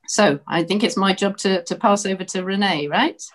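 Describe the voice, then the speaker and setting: A woman's voice: medium pitch, normal speed. A woman from Britain giving earnest, outspoken welcoming remarks as co-host of an online discussion over a video call.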